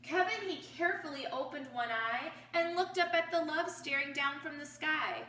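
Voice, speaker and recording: A woman reading a picture book aloud to children like a teacher, expressively, pausing to pose questions to her young listeners. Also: high-pitched, sharp tone. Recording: roomy, distant mic with faint noise.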